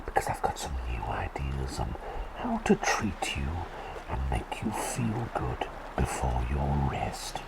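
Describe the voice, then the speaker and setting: A man voicing a kindly, forgetful doctor turned talking bird in a gentle ASMR roleplay, breathy and half-whispered, often hesitating and repeating his phrases. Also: rumbling low, full character voice, poor audio. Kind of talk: ASMR roleplay with personal attention.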